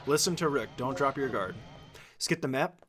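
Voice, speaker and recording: A man's voice: mid-range voice, animated, normal speed, a bit bright, a little hiss or hum. Casual man giving a game tutorial. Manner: informal, conversational, explanatory.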